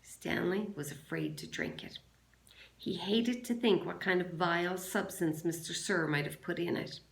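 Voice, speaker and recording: A woman teacher reading a children's novel aloud to a class in a measured, deliberate way. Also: fairly low pitch, lively intonation, creaky. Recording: roomy, echoing sound.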